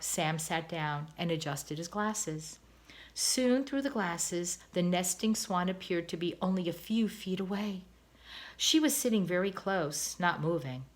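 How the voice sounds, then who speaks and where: A woman, a teacher, reading a children's novel aloud in an expressive, gentle way. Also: lowish voice. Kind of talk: storybook read-aloud.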